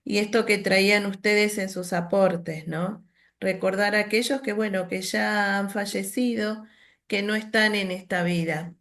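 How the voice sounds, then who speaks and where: A woman's voice: medium pitch, fairly even intonation, unhurried, fairly bright tone. A woman from Argentina, leading a workshop and telling a family story in a reflective, storytelling manner. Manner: reflective, storytelling, many "¿no?" tags. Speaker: workshop leader. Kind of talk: online workshop presentation.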